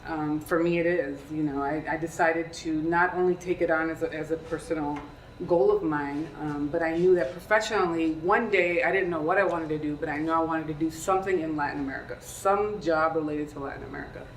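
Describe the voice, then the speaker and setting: A woman's voice: low voice, steady tone, brisk. A woman in her thirties, born in Peru, speaking on a career panel to students in a conversational way and often asking them questions. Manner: conversational, asks the audience questions. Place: lecture room. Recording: echoey room, distant mic, faint hiss.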